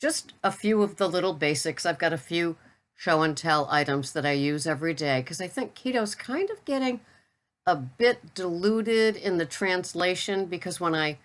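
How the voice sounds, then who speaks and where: An older woman with a low voice talks straight to camera in a loose, rambling vlog chat. She pokes fun at herself as she goes. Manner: chatty, rambling, self-deprecating. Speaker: keto vlogger. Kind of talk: casual talking-head chat.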